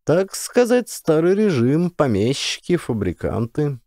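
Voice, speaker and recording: A man's voice: on the high side, sing-song, a little slow, warm tone, no room sound, clean studio sound. A man voicing a cocksure young male communist student in an audiobook, confident, insistent and emphatic. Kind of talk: dramatised audiobook dialogue.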